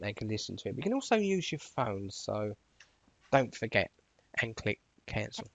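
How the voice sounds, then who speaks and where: A man's voice: lowish voice, a bit bright, no room sound. Male tutorial presenter, informal step-by-step explanations, dry close sound, slightly muffled lo-fi recording.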